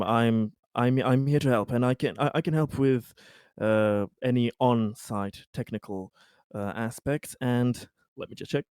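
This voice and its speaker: A man's voice: lowish voice, a little mellow, clear, resonant tone, dry recording, clean studio sound. A man improvising as a player in a tabletop roleplaying game, speaking hesitantly with stammered restarts. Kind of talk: improvised in-character tabletop play.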